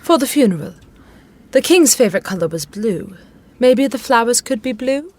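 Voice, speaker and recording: A woman's voice: on the high side, lively intonation, moderate pace, darkish tone, dry recording. A woman playing a middle-aged widowed queen in a modern audio drama, regal and genteel, fussy and pointed. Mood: airy, naive.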